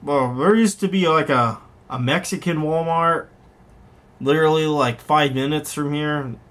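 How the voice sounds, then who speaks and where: A man's voice: on the high side, unhurried, fairly bright tone. A man giving casual, unscripted show-and-tell commentary in a rambling, conversational way, often correcting himself as he goes. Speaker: hobbyist vlogger, host.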